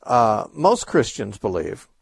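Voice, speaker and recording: A man's voice: mid-range voice, sing-song, slow, vocal fry, husky, dry recording. A man hosting a radio call-in show, answering Bible questions in a thoughtful, explanatory way with frequent restarts.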